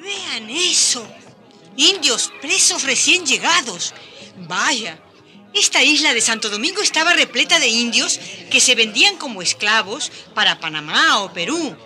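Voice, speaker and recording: High, animated colonial townswoman. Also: measured pace, soft background music, full character voice. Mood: surprised, curious. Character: observant female onlooker in colonial town. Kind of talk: radio drama acting.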